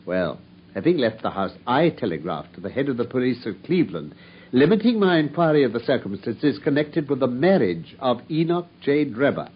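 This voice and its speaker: An actor playing a coolly brilliant detective, explaining his deductions in a precise, measured way. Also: medium pitch, sing-song, husky. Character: coolly brilliant, self-assured male detective. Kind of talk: 1950s radio drama acting. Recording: dull lo-fi old radio broadcast.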